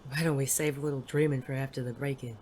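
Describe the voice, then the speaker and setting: A performer playing a world-weary, self-doubting male private eye and war veteran in an audio drama, gruff, hesitant and prone to swearing. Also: medium pitch, fairly even intonation, fast, a little mellow, natural voice. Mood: hesitant, softening. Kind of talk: intimate audio drama dialogue. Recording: clearly noisy background ambience.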